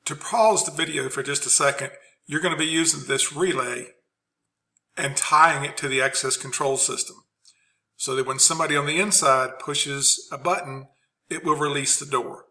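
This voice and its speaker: A man's voice: a little high, fairly even intonation, normal speed, sharp tone. A man giving an unscripted instructional presentation as a trainer, explaining things plainly.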